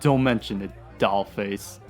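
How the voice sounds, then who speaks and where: A man's voice: fairly low pitch, measured pace, faint music behind, natural voice. A man playing a condescending, muscle-bound nightclub tough in a 1940s-style noir radio comedy. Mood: dismissive.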